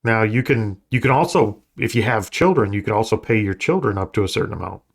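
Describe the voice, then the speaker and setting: A man with a somewhat low, scratchy voice co-hosting a casual podcast. He explains things plainly and drops in dry jokes. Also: expressive, fast. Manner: dry joking asides. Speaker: podcast co-host. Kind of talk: casual chat.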